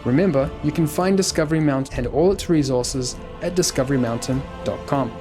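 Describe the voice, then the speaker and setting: A man's voice: medium pitch, brisk, over music. A man reading scripted narration as the host of a podcast.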